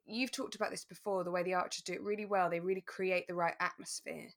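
Woman, slightly low voice, relaxed chat. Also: fast. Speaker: podcast co-host. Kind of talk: relaxed casual chat about a radio drama.

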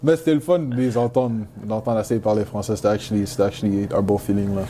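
A man's voice: on the low side, steady tone, quick pace, dark tone, a little hiss or hum. A young man from Montreal, a native English speaker with a Quebec accent, chatting casually in an interview and peppering his French with English slang. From Montreal, Quebec, Canada. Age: young adult. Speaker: university football player, interview guest.

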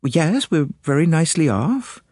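A man's voice: on the high side, big pitch swings, moderate pace, a little mellow, slightly rough, dry recording, studio quality, pitched above the performer's own voice. A man voicing a fretful middle-aged lady. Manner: breathless, complaining, prim. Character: fretful middle-aged woman, anxious and indignant. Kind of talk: audiobook character voice.

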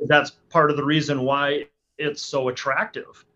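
A man's voice: a little high, little pitch movement, measured pace, sharp tone. A man in his forties, a hunting guide, answering interview questions casually with frequent restarts and fillers. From Alaska. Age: 40s. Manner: hesitant, many restarts and fillers. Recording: dull lo-fi sound.

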